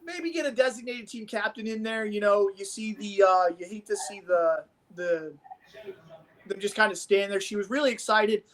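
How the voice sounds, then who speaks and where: A man's voice: sits high, normal speed, a bit bright. A man from Nebraska commentating martial arts sparring in an enthusiastic, rambling, coach-like way. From Omaha, Nebraska. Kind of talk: casual sports commentary.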